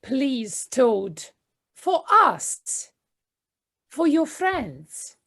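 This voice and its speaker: Woman as an earnest, high-voiced little mole. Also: sing-song, extremely slow, gravelly, fairly bright tone, full character voice. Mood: pleading. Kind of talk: audio play acting.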